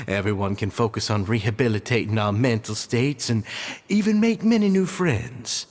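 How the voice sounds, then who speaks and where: An actor playing an earnest, deferential male club leader in a video game, courteous and eager in manner. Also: on the low side.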